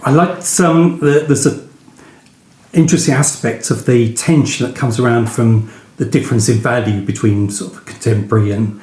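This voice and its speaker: A man conducting a conversational book interview, halting and pausing in the middle of his thoughts. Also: mid-range voice, fairly even intonation, a little mellow. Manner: halting, pausing mid-question. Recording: roomy, echoey sound.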